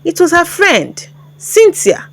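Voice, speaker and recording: A woman's voice: extremely high pitch, wildly swooping pitch, slow, fairly bright tone. A woman with a Nigerian accent telling a story in a dramatic scripted narration.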